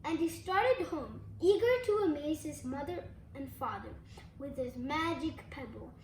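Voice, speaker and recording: Child storybook reader, very high voice, careful delivery, recorded in an echoey room. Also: animated, measured pace. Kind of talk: storybook read-aloud. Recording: echoey room, faint background noise.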